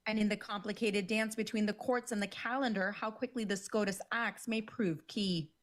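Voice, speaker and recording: A woman newscaster reads a scripted bulletin. Her pitch is mid-range and her delivery is even and measured. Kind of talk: scripted news read.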